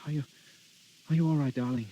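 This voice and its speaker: A man's voice: on the high side, steady tone, fast, dark tone, slight background noise, lightly acted. An actor playing an ardent, earnest young nobleman in a theatrical vintage radio drama. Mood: shaken. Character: ardent young nobleman, a duke's son and anxious suitor. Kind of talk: 1930s-40s radio acting. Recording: muffled old radio broadcast recording.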